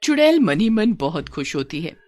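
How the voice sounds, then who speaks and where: A woman narrates a scripted horror tale for a cartoon. Her voice is fairly low, with animated rises and falls, and soft music plays behind her. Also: moderate pace, no room sound. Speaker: story narrator.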